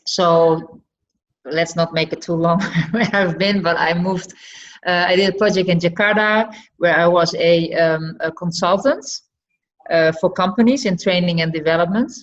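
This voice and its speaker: Low-voiced middle-aged Dutch woman, slightly muffled. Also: moderate pace. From Friesland, northern Netherlands. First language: West Frisian. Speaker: interview guest, artist. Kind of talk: conversational personal storytelling in an interview. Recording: slightly dull, lo-fi podcast audio.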